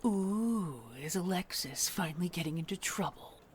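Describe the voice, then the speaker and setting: A woman playing a sly, taunting, hungry vampire brother in an audio roleplay, with a breathy, languid, teasing delivery. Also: lowish voice, normal speed, heavy background noise, natural voice. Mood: taunting, amused. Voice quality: breathy, half-whispered. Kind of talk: audio roleplay drama acting.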